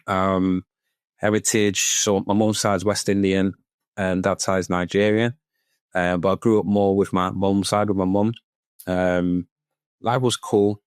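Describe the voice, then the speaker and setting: A man with a Manchester accent, chatting relaxed and easygoing as a podcast guest. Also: low-pitched, measured pace, clear, resonant tone, no echo, clean studio sound. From Manchester, England. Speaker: podcast guest, business owner. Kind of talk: casual conversational interview.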